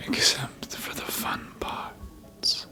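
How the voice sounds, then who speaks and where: A performer voicing a suave, manipulative vampire in a whispered ASMR roleplay, breathy and deliberate, speaking close to the microphone. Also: pushed and bright, exaggerated voice. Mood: soothing, seductive. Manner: slow, deliberate, hypnotic, insinuating.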